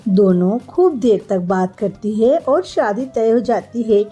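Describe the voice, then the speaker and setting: A woman's voice: a little high, expressive, a little slow, mellow, breathy edge, soft background music. A woman narrating a scripted children's story as a storyteller.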